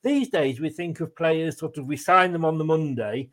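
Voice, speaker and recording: An English man with a fairly high voice hosts a conversational interview. He asks long, meandering questions in a chatty, easy manner. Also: normal speed, fairly bright tone. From England. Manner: chatty, rambling questions with light laughs. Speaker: interviewer, host.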